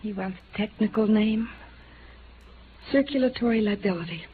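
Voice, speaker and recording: A woman's voice: medium pitch, normal speed, natural voice. An actress playing an anguished, protective young woman in a 1950s radio drama, urgent and strained with feeling. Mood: bitter, grave. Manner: urgent, earnest, emotionally strained. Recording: muffled, noisy vintage radio broadcast.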